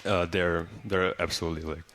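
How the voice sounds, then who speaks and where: Young man, Serbian native speaker, very low voice, relaxed, filler-heavy, college athlete interview. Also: fairly quick, no echo. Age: young adult. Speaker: college basketball player. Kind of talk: casual interview.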